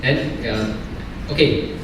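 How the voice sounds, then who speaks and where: A man's voice: mid-range voice, extremely slow. A man who grew up in Malaysia and speaks English as a second language, giving an academic workshop talk as a journal editor and filling his speech with "okay" and "you know". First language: not English. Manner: frequent "okay" and "you know" fillers, coaching tone. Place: large university hall. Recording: echoey hall, distant mic, faint noise.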